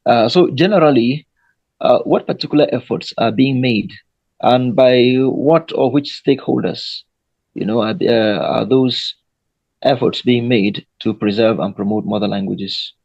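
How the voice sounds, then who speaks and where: Gravelly, husky Nigerian man hosting. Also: mid-range voice, unhurried, a little mellow, no room sound, muffled recording. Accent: Nigerian English. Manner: hesitant, many fillers. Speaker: host. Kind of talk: moderated panel discussion.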